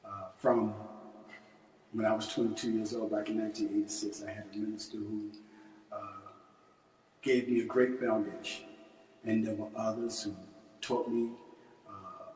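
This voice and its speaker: A man of sixty-two speaking as a minister in a religious lecture and prayer, deliberate and halting, with emphatic starts to his phrases. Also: on the low side, flat delivery, darkish tone, slight background noise. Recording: echoey hall, distant microphone.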